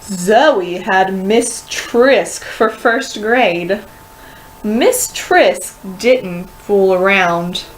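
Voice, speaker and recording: A woman reading a children's story aloud with expressive, dramatic and deliberate phrasing. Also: medium pitch, slight background noise, low-quality recording. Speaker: storybook reader.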